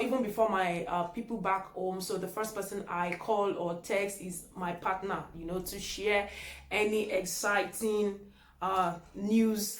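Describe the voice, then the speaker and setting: Chatty Nigerian woman in an echoey room. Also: medium pitch. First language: Yoruba. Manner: chatty, repeats herself for emphasis. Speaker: vlogger. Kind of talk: casual chat answering tag questions.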